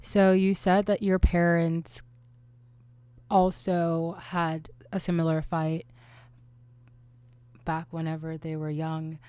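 A woman co-hosting a podcast, asking a question in a measured, careful way. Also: lowish voice, level tone, mellow, smooth, no echo. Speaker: podcast co-host. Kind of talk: interview question.

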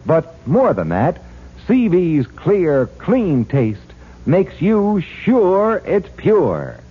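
Casting: male old-time radio announcer, emphatic and polished, hugely animated pitch, muffled recording. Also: drawn-out words, husky. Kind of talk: 1940s radio commercial announcing.

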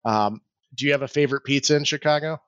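A man's voice: mid-range voice, fast talker, a bit bright, dry recording, lo-fi sound. A man hosting a podcast, chatting informally and easily as he asks questions. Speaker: podcast host. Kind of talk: casual interview chat.

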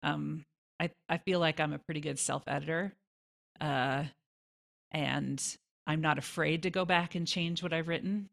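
Female interview guest, low creaky voice, informal and chatty delivery. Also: fairly even intonation, measured pace, a bit bright. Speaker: guest songwriter. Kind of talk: casual interview answers.

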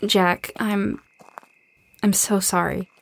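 A young woman playing a tough, grieving and determined survivor in an audio drama, speaking earnestly and quietly. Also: medium pitch, flat delivery, takes it slowly, vocal fry. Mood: sympathetic. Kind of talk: naturalistic audio drama acting.

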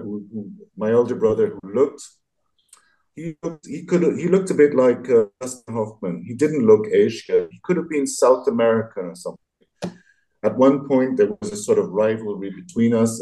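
A man in his sixties telling personal stories in a reflective interview, pausing and repeating words as he recollects. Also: fairly low pitch, warm tone, poor audio. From grew up in Vietnam, England and France. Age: 60s. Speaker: interview guest, graphic novelist and illustrator. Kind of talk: reflective conversational storytelling in an interview.

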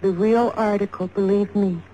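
A woman's voice: mid-range voice, fairly even intonation, normal speed, dark tone, close to an everyday voice. An American woman acting a shaken but wry fashion model in a 1950s radio drama, confiding and hesitant. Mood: scornful, confiding. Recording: noisy 1950s radio recording.

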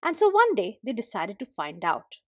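A woman telling a folktale as expressive scripted narration for a storytelling podcast. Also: medium pitch, moderate pace, no echo, studio quality.

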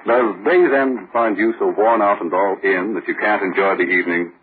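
A man working as a solemn 1940s radio announcer, with a measured, ominous delivery. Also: on the low side, slight background noise. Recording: thin, narrow-band 1940s radio broadcast.

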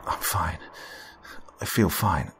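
A man's voice: sits low, very animated, slow, a bit bright, faint music behind, full character voice. A man voicing a desperate, tormented man who speaks hesitantly and with strain, in an audio horror story. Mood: stalling. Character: desperate, tormented man hiding his fear.

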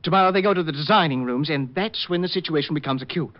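A man's voice: fairly high pitch, quick pace, close to an everyday voice. A man playing a fussy, catty male hat designer in a 1950s radio drama, with a theatrical, snippy delivery. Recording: dull lo-fi old radio broadcast.

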